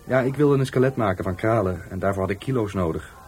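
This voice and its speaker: An actor with a Netherlands Dutch accent playing a touchy, defiant law-school dropout in a radio play, by turns hesitant and scornful. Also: male voice, on the low side, fast, a little mellow, slight background noise, natural voice. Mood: hesitant, explaining. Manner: hesitant, then scornful and argumentative. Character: defiant, touchy young male law-school dropout, murder victim's helper.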